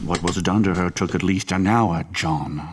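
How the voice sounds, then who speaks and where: An actor playing a menacing, condescending police interrogator in an audio horror drama, with a sardonic, deliberate and bullying manner. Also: male voice, low voice, soft background music, natural voice. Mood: accusing, incensed.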